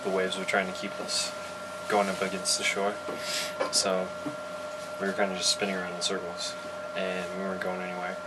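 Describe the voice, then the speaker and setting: A man's voice: completely flat delivery, moderate pace, pauses often. A plain-spoken, laconic man answering interview questions casually as a crew member inside a small boat. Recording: very noisy boat interior, engine rumble.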